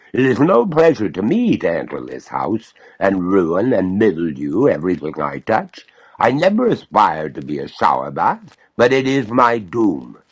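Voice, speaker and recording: A man voicing a haughty, doleful female water ghost in a storybook reading, slow, drawn-out, formal and theatrical. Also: fairly low pitch, heavily acted. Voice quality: gurgling.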